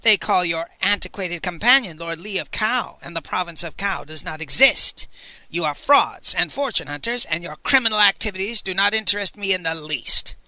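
A man voicing an enormous, cold old woman tyrant who grunts and growls her orders in a loud, clipped way. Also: high-pitched, lively intonation, normal speed, dry recording, heavily acted, rough recording. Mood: menacing.

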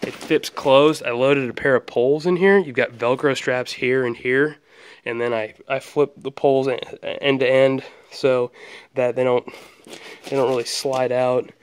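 A young man casually walking through a product at home, relaxed and rambling with lots of ums and restarts, filmed on a handheld phone. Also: medium pitch, a little slow, clear, resonant tone. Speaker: product reviewer. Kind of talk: casual hands-on product walkthrough. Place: indoors, carpeted room at home.

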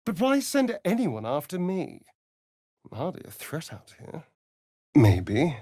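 An actor with a British accent playing a vain, flirtatious, sardonic vampire rogue in a fantasy video game, arch and theatrical in delivery. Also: male voice, on the high side, wildly swooping pitch, takes it slowly, mellow, husky, no echo, studio quality, full character voice. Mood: wry, guarded. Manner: arch, drawling, theatrical, mocking.

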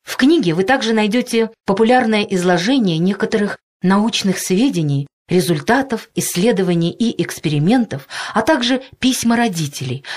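A woman reading a nonfiction audiobook as narrator, in measured, clearly phrased sentences. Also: medium pitch, darkish tone.

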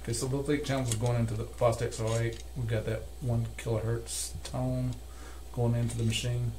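A man's voice: medium pitch, level tone, normal speed. An older man narrating a hands-on technical tutorial in a methodical, step-by-step way, as a technician at his workbench. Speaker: repair technician demonstrating calibration. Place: cluttered indoor electronics workshop. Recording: noisy workshop room recording.

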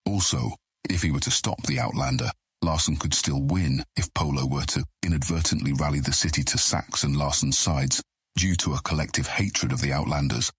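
A calm thieves' guild deputy with an extremely low, heavily rasping voice. He speaks with measured authority in a fantasy audiobook drama, recorded close and dry. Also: no room sound, full character voice. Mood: grave instruction. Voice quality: deep, raspy.

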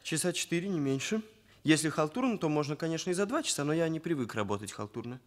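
An actor as an earnest, high-pitched young hairdresser. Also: male voice, lively intonation, quick pace, natural voice. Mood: earnest, pedantic. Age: young adult. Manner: solemn, pedantic, stilted official phrasing. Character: earnest, self-important young trainee ladies' hairdresser. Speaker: trainee hairdresser. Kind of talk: Soviet radio drama acting.

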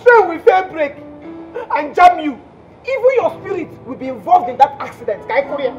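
A woman's voice: high-pitched, very animated, takes it slowly, a little mellow, soft background music, exaggerated voice. An actress with a Nigerian accent playing a hot-tempered, theatrical jilted girlfriend in a phone-call drama. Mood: furious, cursing. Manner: theatrical, exclamatory, repetitive. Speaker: actor in a comic relationship drama.

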